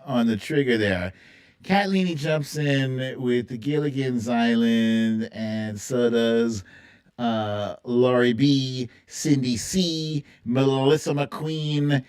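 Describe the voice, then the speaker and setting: Slow-paced casual livestream host of unclear gender. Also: medium pitch, clear, resonant tone. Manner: playful, breaks into humming and singing theme tunes. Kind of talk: casual live chat with a TV theme quiz.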